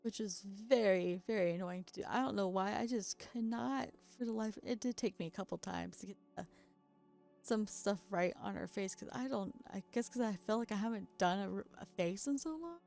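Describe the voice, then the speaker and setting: Tired woman with a gravelly, cracking voice. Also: mid-range voice, a little fast, darkish tone. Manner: chatty, self-deprecating, laughs often. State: very tired after staying up all night. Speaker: doll customizing creator. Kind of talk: casual craft voice-over narration.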